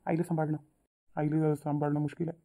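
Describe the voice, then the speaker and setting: A woman voicing a responsible elder brother and family peacemaker in a cartoon, probing and gently directing in a Vidarbha Marathi accent. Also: sits low, flat delivery, quick pace, vocal fry. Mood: questioning. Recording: tinny phone-like narrow audio.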